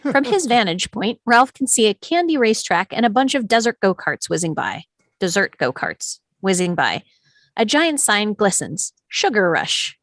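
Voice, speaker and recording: A woman reading scripted stage directions as narrator at an animated film table read. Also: mid-range voice, moderate pace, no room sound.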